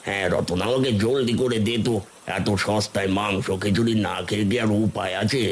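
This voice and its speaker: Guy doing lowish older village uncle, curious food loving cartoon customer. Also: brisk, slight background noise, lightly acted. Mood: curious, eager. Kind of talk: cartoon voice acting.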